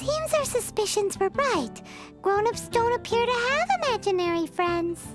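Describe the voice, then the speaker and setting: A woman voicing a tiny excitable female companion creature who speaks of herself in the third person, in game dialogue over music. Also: normal speed. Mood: curious. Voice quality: high childlike chirpy voice. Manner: talks about herself in third person, exclaiming.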